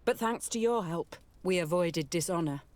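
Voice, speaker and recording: An actress playing an honourable military commander in a video game, speaking crisply, formally and respectfully. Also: female voice, lowish voice, expressive, normal speed, a little mellow, dry recording, close to an everyday voice. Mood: dutiful concern. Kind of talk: dramatic video game dialogue.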